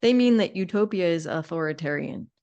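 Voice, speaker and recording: A woman's voice: on the low side, animated, fairly quick, a little mellow, muffled recording. A woman co-hosting a podcast, talking casually and informally with a sardonic, opinionated edge. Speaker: podcast co-host. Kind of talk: casual conversational discussion.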